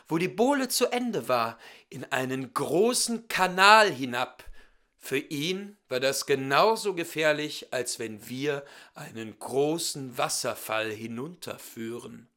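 A man tells a fairy tale in a fairly high, very bright voice. He takes his time, shaping each phrase with dramatic, theatrical care. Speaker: storyteller reading a fairy tale.

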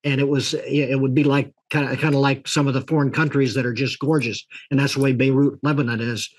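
Older man, host, husky, rambling folksy delivery, video call. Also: medium pitch, fairly even intonation, brisk. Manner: rambling, folksy, with many restarts and fillers. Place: office with a leather chair.

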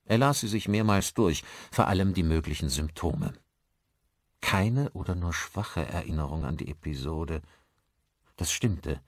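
A man narrates a suspense audiobook in a low register. His intonation is lively and varied, following the scripted prose. The recording is dry, with no room around the voice. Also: a little slow, no echo.

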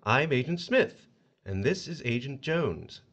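A man playing an officious, sinister agent of hell in a horror audio drama, formal and measured. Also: medium pitch, sing-song, fairly bright tone, exaggerated voice. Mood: coolly official.

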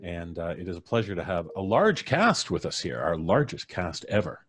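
A man's voice: low-pitched, sing-song, moderate pace, fairly bright tone, a little hiss or hum. A middle-aged man with a North American accent hosting a live stream and introducing guests with effusive, showman-like flourish. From Canada. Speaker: podcast host. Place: small room, indoors. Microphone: studio microphone on boom arm, headphones.

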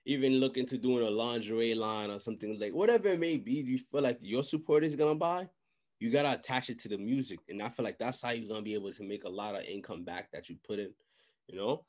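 A man's voice: mid-range voice, fast. Young man giving casual, slangy advice. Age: young adult. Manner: animated, slangy, repeats phrases. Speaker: podcast host and music industry adviser. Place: small indoor room, video call. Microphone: desk microphone, close.

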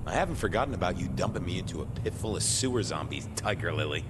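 A man's voice: sits low, animated, fast, heavy background noise. An actor playing a wry, exasperated but protective man in a zombie apocalypse video game, casual and sarcastic. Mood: indignant, wary. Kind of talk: video game cutscene acting.

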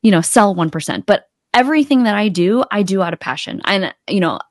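A woman's voice: mid-range voice, lively intonation, a little fast, no echo. A woman speaking as a podcast guest and coach, earnest and reflective in a casual conversation, close to a desktop mic. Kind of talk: casual interview chat. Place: indoor home room on a video call.

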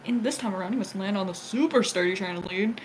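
A woman's voice: medium pitch, fast. A woman performing an irreverent, giggly, improvised cartoon fandub. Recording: clearly noisy home recording.

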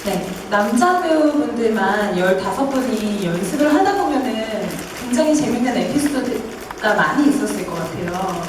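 A woman's voice: fairly high pitch, animated, moderate pace. A woman moderating a press call in a formal, polite register as the host. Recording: very echoey, distant, noisy.

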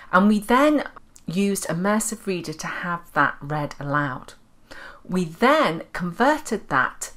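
A woman narrating an explanatory step-by-step software tutorial as its presenter. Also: sits low.

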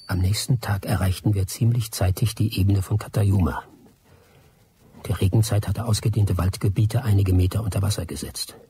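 An actor playing a first-person narrator, giving measured, reflective storytelling in a radio drama. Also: male voice, deep voice, steady tone, warm tone, slight background noise, natural voice. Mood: calm recollection. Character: male first-person narrator recalling a jungle expedition.

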